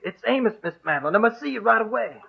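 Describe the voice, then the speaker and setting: Through a muffled old radio recording, an anxious male servant speaks in a high, creaky, husky voice. He is deferential and urgent, hurrying through simple phrases. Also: animated, very fast, slight background noise. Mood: urgent, frightened. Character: anxious, deferential male servant searching for his missing sweetheart. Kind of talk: old-time radio drama acting.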